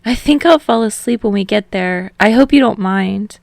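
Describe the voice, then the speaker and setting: A woman's voice: medium pitch, normal speed, creaky, dry recording, voice pushed up, natural voice. A woman voicing a bubbly, eager young man, chatty and a little sheepish, as an audiobook character. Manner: energetic, hopeful, gushing. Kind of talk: fan fiction audiobook character voice.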